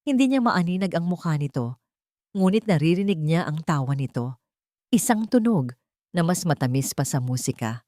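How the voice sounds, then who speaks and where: A woman narrating a scripted love story in a dramatic, measured storytelling manner. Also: low-pitched, expressive, dark tone, no echo, clean studio sound.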